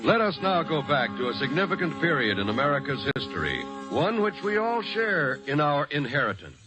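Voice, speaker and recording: A man's voice: on the high side, sing-song, a little fast. A man announcing a scripted old-time radio programme in a grand, solemn, declamatory manner. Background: music.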